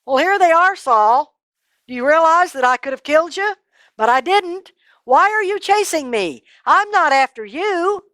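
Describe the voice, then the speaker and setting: A woman's voice: very high-pitched, expressive, moderate pace, dry recording, pitched above the performer's own voice. A woman voicing a loyal, shrewd young warrior who speaks earnestly in a children's Bible story.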